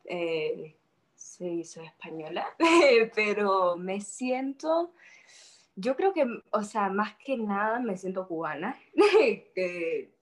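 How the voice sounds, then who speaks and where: A young woman from Spain talks in a relaxed interview. She often stops to gather her thoughts and repeats words before she finishes. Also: mid-range voice, very slow. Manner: hesitant, repeats words while thinking. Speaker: interview guest. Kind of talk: casual interview conversation.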